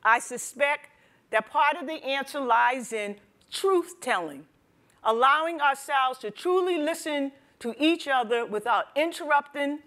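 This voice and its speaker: An older woman with a high-pitched voice delivers a prepared panel address. She is deliberate and solemn, weighing each phrase as a religious sister speaking in public. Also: expressive, fairly bright tone. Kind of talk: prepared speech. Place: wood-panelled library room at a panel table. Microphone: lapel.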